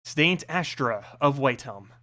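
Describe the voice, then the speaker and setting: A man's voice: mid-range voice, expressive, unhurried, bright, forceful tone. A man reading a fantasy story aloud as a narrator, with a dramatic storytelling delivery.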